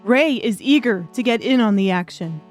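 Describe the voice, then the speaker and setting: A woman's voice: on the high side, animated, normal speed, faint music behind. A woman reading scripted audiobook narration as the storyteller.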